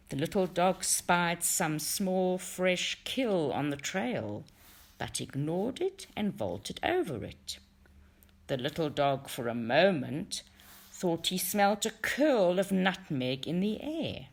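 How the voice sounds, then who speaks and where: A woman reading a folktale aloud as expressive storybook narration, in a measured, dramatic way. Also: fairly low pitch. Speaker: reader of a folktale for a book study group.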